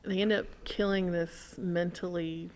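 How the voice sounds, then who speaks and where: A middle-aged woman telling stories in casual conversation, in an animated, rambling way. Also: lowish voice, a little slow, poor audio. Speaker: podcast guest or co-host. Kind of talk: casual chat, storytelling.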